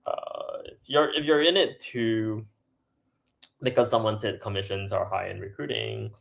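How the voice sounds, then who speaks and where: Young man from Singapore, gravelly and low. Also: steady tone, measured pace, fairly bright tone. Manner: filler-heavy, restarts often, laughs between points. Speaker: podcast guest, startup co-founder. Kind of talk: casual interview chat.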